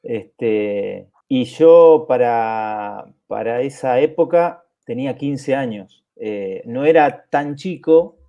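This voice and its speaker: A man's voice: mid-range voice, expressive, slow, hardly any pauses. Rambling man, dull lo-fi audio. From southern Chile. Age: 40s. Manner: rambling, many restarts and stammered repeats. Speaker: podcast co-host. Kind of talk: casual podcast chat.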